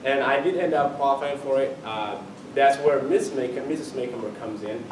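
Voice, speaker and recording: A young man tells personal stories to an audience, teasing them with jokes. The recording was made by a distant mic in a reverberant school auditorium. Steady background noise runs under his voice. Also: medium pitch, normal speed. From Ethiopia. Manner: joking, teasing the audience with tall claims. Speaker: student storyteller. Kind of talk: personal storytelling to a live audience. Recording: echoey hall from far off, noisy.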